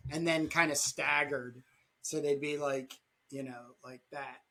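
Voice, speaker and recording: A man hosting a podcast about comics in casual talk, pausing mid-sentence as he goes. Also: mid-range voice, fairly even intonation, sharp tone. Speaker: podcast host and comic artist.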